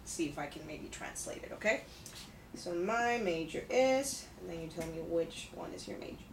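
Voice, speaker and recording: A woman's voice: fairly low pitch, expressive, moderate pace, vocal fry. A woman in her thirties teaching English to a class in a classroom, speaking patiently and checking in with her students often. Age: 30s. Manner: patient, checks in with "okay?" and "right?". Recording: echoey room, distant mic, background noise.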